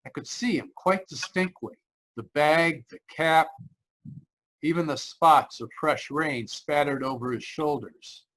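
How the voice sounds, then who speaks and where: A man acts out a troubled male motorist recounting his journey for a radio mystery play. His voice sits a little high. He speaks with dramatic unease, stammering and hesitating as he goes. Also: measured pace, fairly bright tone, low-quality recording. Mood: haunted, brooding. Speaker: actor, lead narrator. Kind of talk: 1940s radio mystery acting. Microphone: remote video call.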